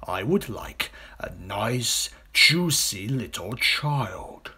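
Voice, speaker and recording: A man voicing an enormous, greedy, boastful crocodile from a children's storybook, relishing each slow, drawn-out word with a sly menace and breathy hisses. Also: fairly low pitch, sing-song, bright, forceful tone, exaggerated voice.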